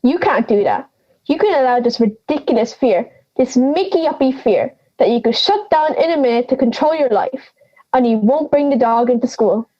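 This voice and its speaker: A woman's voice: sits high, lively intonation, normal speed, mellow, muffled recording. A young woman playing a feisty, blunt granny of ninety in an audio drama, scolding and sarcastic. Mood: scolding, brash. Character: feisty, blunt ninety-year-old granny living in the woods.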